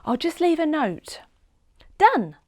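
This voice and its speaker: A woman voicing a cheeky, excitable young girl in a storybook, curious and wide-eyed. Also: sits high, takes it slowly, dry recording, clean studio sound, voice pushed up, exaggerated voice. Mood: eager. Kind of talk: storybook read-aloud character voice.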